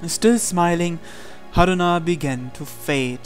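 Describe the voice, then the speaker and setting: A man's voice: a little high, measured pace. A man reading a visual novel aloud as a let's player, with theatrical storytelling and casual asides. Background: game music.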